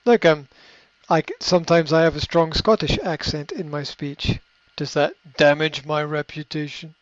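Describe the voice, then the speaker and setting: A man's voice: on the high side, fairly even intonation, moderate pace, dry recording. Reflective man with a dull dry sound. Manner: reflective, many fillers and restarts. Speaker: coach answering a question. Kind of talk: unscripted advice talk. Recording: dry, slightly muffled recording.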